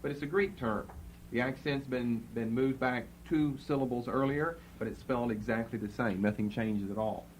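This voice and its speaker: A man giving a Bible lecture to a class, spelling out words letter by letter and repeating key terms slowly. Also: mid-range voice, a little hiss or hum. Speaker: Bible teacher. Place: classroom with students writing.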